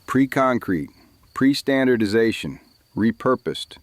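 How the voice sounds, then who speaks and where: A man's voice: medium pitch, animated, a little slow, no echo, natural voice. An actor playing a terse, commanding father leading an escape in a podcast drama, speaking in a clipped, matter-of-fact way. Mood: grave, measured. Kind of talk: fiction podcast drama acting.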